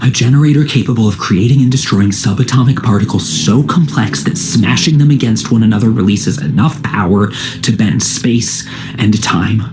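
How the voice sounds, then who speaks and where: An actor playing an earnest, remorseful alien male in an audio drama, speaking in a formal, measured manner. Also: on the low side, darkish tone, natural voice. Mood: grave confession.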